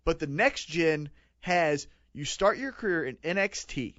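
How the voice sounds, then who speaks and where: A man's voice: a little high, animated, measured pace, a bit bright, dry recording, dull audio. A man in his late twenties co-hosting a podcast, rambling and joking in casual chat. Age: 20s. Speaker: podcast co-host.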